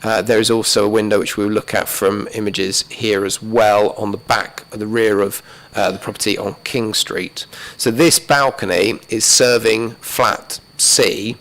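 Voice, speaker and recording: A man presenting a planning application to a council committee, talking methodically through slides while losing his voice and clearing his throat. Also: fairly low pitch, steady tone, a little slow, a bit bright, poor audio. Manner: methodical, many fillers and restarts, talks through slides. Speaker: planning officer. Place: council chamber.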